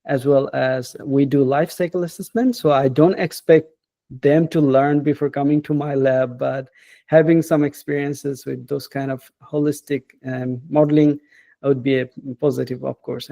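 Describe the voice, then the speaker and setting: A man, a professor talking as an interview guest in an unhurried, hesitant way and often adding "right?". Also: mid-range voice, little pitch movement, creaky, dark tone, no echo. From Bangladesh. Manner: unhurried, hesitant, with frequent "uh" and tag questions like "right?". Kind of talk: casual interview.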